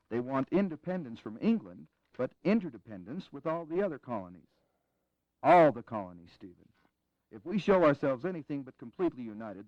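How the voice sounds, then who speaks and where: A man's voice: medium pitch, a little mellow, no room sound, dull audio, lightly acted. An actor playing an earnest, diplomatic delegate in his forties, speaking formally in an audio drama. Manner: formal, measured wording.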